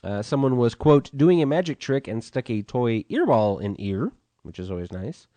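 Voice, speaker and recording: Guy dj with a cold, stuffed up, cussing and rambling, smooth voice, dry close sound. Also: mid-range voice, very animated, normal speed, mellow, no room sound. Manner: profane, loose and rambling. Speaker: radio show host and DJ. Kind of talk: casual rambling on-air chat.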